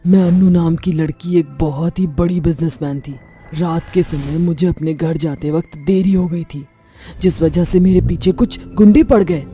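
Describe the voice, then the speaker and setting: A woman's voice: fairly low pitch, fairly even intonation, moderate pace, mellow, faint music behind, close to an everyday voice. A woman voicing a vengeful, bitter female witch ghost in a horror cartoon, speaking in a menacing, declaiming way. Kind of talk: Hindi horror cartoon voice acting.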